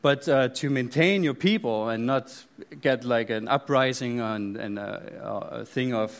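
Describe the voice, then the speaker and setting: A man's voice: medium pitch, a little slow. A middle-aged man from East Germany with a German accent, speaking from the front of a church with many fillers, restarts and joking asides. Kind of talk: sermon.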